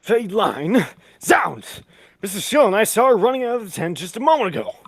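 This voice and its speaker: A woman playing a dramatic, old-fashioned male circus gentleman in a 1940s style radio play. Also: medium pitch, sing-song, normal speed, husky, dry recording. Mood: shocked.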